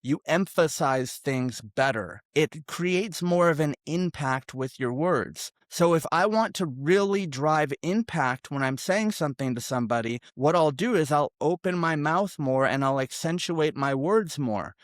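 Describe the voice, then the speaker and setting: A man's voice: on the high side, lively intonation, a little slow, few pauses, no room sound. Emphatic man coaching sales, dry sound. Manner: emphatic, over-articulated, demonstrates example lines. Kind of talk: direct-to-camera sales coaching.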